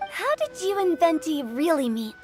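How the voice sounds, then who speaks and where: Super high playful game girl, nosy, teasing, dramatic, music in the back. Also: moderate pace. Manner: teasing, inquisitive, expressive. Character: bold, nosy, playful teenage girl, cheeky and theatrical. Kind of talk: video game character dialogue.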